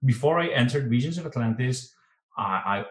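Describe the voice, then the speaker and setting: A man speaks halting, non-native English, often restarting his phrases and laughing easily. His voice sits at a medium pitch for a man. It is heard over a video call and carries a roomy echo. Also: animated. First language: not English. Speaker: guest musician. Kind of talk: casual interview chat. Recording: roomy echoing sound.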